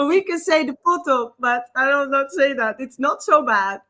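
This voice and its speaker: A woman in her thirties with a Dutch accent, a guest telling a personal story in a halting, emotional way, often repeating words. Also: sits high, animated, pushed and bright. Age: 30s.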